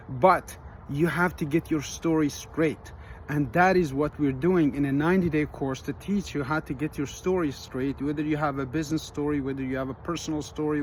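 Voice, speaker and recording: Earnest middle-aged man, street noise behind. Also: on the high side. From Iran. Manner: earnest, plain-spoken, slightly halting. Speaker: business coach. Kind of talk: direct-to-camera advice video. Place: outdoors in an urban area.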